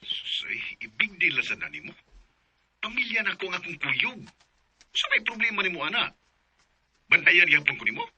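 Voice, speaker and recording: An actor playing an evasive, irritable young boyfriend, curt and defensive, with a Cebuano Filipino accent, in a radio drama. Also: male voice, sits high, big pitch swings, moderate pace, pauses often, pushed and bright, a little hiss or hum, full character voice. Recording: dull, band-limited radio drama audio.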